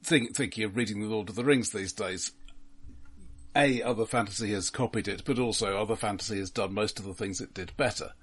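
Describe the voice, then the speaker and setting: A man's voice: fairly low pitch, fairly quick, breathy edge. A man co-hosting a podcast, discussing films casually with a wry, dry manner. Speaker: podcast co-host.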